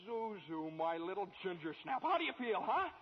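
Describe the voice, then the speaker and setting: High, excitable American family man. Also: normal speed, husky, full character voice. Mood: joyful, overwhelmed. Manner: stammering, urgent, repeats himself. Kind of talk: 1940s American radio drama acting. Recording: muffled old-time radio recording.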